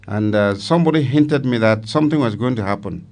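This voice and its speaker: A man's voice: mid-range voice, brisk, a little mellow. An older man with a Cameroonian accent, a retired army commander, recounting his memories in an interview with emphatic repetition. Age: older, 70s or more. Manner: emphatic, repeats phrases for weight.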